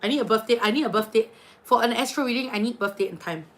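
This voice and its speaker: A woman's voice: on the high side, quick pace. Chatty woman with a Kiwi accent. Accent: New Zealand. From New Zealand, raised partly in Singapore. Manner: chatty, animated, reading out viewer names. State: tired, late at night. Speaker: tarot and astrology reader hosting a live stream. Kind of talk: casual livestream chat with viewers.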